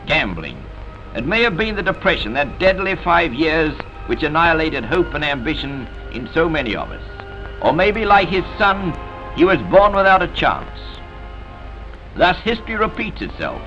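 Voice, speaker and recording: A man delivers a solemn newsreel narration in a bright, forceful voice with sweeping, animated pitch. His delivery is dramatic and oratorical, like a formal public address. The old soundtrack is dull and limited. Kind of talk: scripted vintage newsreel narration.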